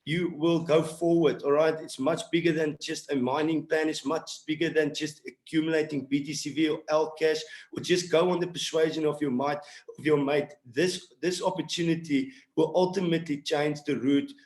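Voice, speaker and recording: A man from South Africa with an Afrikaans accent, a native Afrikaans speaker, giving an earnest, emphatic motivational sales pitch as a team leader on an online call. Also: steady tone, normal speed, a little mellow. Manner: earnest, exhorting, repetitive and emphatic. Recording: roomy, echoey online call audio.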